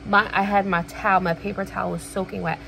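A woman's voice: medium pitch, little pitch movement, fast talker, vocal fry, bright, forceful tone, slight background noise, low-quality recording. A woman in her thirties chatting casually in a rambling, sweary personal vlog recorded in a room at home. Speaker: vlogger. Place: small indoor room at home.

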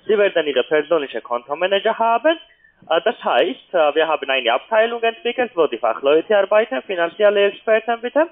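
High-pitched foreign-accented man on muffled phone. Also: sing-song, brisk. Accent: foreign accent in German. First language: not German. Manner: hesitant, polite, repeatedly tags sentences with questions. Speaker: call centre sales agent pitching investments. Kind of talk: halting phone sales pitch. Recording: muffled, narrow telephone line.

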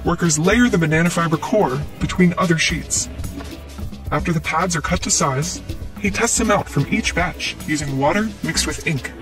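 A man giving scripted documentary narration in an even, explanatory way. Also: fairly high pitch, moderate pace. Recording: distant voice with clear music bed.

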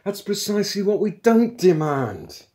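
A man's voice: fairly high pitch, lively intonation, moderate pace, mellow, pitched above the performer's own voice, natural voice. A man voicing an irritable older philosopher in an audiobook, officious, insistent and indignant.

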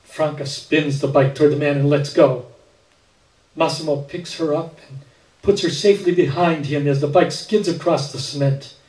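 Older guy reading a short story at a mic, soft mellow tone, echoey and a bit far off. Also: fairly high pitch, fairly even intonation, moderate pace. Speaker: reader at a literary event. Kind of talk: literary short story read aloud. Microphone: stand mic with speakers, recorded by far camera. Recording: echoey room, distant camera pickup.

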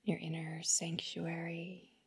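A woman guiding a meditation in a soft, breathy, whispery voice, gentle and soothing, in short phrases with long pauses. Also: lowish voice, monotone. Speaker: meditation guide. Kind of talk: guided meditation narration.

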